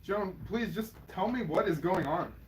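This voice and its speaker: A man's voice: high-pitched, lively intonation, measured pace, rough recording. A man playing a flippant, laid-back partner in a naturalistic audio drama, speaking in a casual, wry, dismissive way. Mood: placating, wry. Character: laid-back, flippant partner, nervous about bee stings. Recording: faint background noise.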